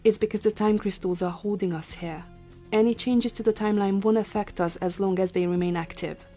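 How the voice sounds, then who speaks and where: A woman's voice: mid-range voice, fairly even intonation, fairly quick, warm tone, soft background music, natural voice. Woman playing a cold, commanding mission scientist. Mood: impatient. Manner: formal, clipped, imperious. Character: cold, commanding female scientist leading a time-travel mission. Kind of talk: scripted sci-fi audio drama acting.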